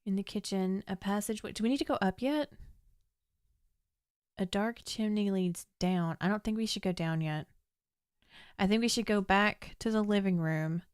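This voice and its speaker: A young woman with a slightly hoarse voice streams a text adventure, reading room descriptions aloud in a measured, storytelling way between chatty asides. Her pitch sits mid-range for a woman, and the close mic sounds dry, with no room sound. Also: dry recording. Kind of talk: casual game commentary, reading game text aloud.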